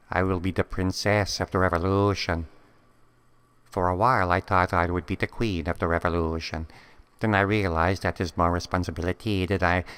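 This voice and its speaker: Man voicing a low, deadpan scheming cartoon cat. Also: clear, resonant tone. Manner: deadpan, solemn, grandiose storytelling. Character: scheming, self-important female house cat revolutionary. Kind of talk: scripted comic monologue. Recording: long cavernous reverb.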